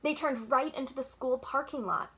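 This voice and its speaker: A woman reads a children's book in a fairly high voice. Her narration is expressive and dramatic, phrased like a child telling her own story. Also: moderate pace. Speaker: library storytime reader.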